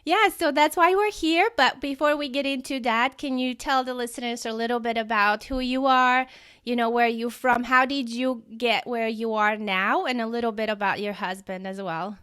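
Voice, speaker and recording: A woman's voice: fairly high pitch, fairly even intonation, normal speed, fairly bright tone, clean tone, no room sound, studio quality. A woman hosting a podcast interview, asking questions in a friendly, encouraging way. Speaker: podcast host.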